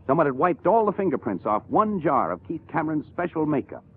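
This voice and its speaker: Relentless radio police inspector, animated delivery. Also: male voice, on the high side, fairly quick, slightly rough. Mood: dry, probing. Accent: American. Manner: methodical, accusatory, drily self-deprecating. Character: shrewd, relentless male police inspector cornering murder suspects. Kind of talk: 1950s radio drama acting. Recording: muffled vintage radio broadcast with music.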